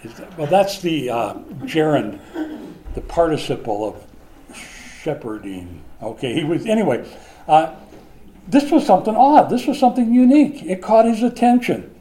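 A man preaching a conversational Bible lesson, animated at times and hushed at others. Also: a little high, normal speed, slight background noise. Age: older. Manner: animated, swings from loud to hushed.

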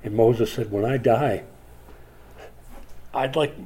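A man's voice: mid-range voice, takes it slowly, dark tone. A man in his sixties preaching a sermon, reflective, rising with emphasis when he reads scripture. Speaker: pastor.

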